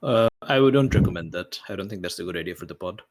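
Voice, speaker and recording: A man with a fairly low voice chats as a player at a tabletop game. He is informal and agreeable, working out his character's choices aloud. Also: animated, fast talker, a little mellow, a little hiss or hum. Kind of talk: casual tabletop roleplay discussion.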